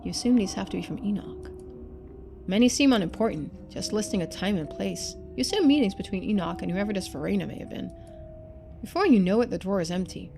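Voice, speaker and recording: A woman streamer reading a story game aloud in expressive narration, with casual asides. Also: mid-range voice, fast talker, creaky, music in the background.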